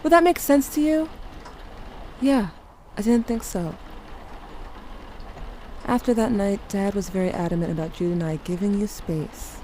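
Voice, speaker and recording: A woman's voice: medium pitch, unhurried, long pauses, a little mellow, close to an everyday voice. A woman playing a grieving, sardonic teenage girl who narrates her story in a confiding audio drama style. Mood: confiding, wry. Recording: clearly noisy, ambient sound behind.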